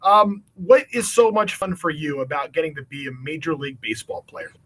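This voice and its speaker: A man's voice: a little high, expressive, a little fast, a bit bright, breathy edge, rough recording. A man with an American accent hosting a children's online event in an upbeat, chatty style. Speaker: event host.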